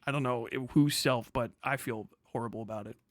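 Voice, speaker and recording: A man giving casual, sweary livestream commentary in an exasperated, sarcastic, animated manner. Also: mid-range voice, a little fast, dry recording. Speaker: livestream host reacting to a recorded conversation.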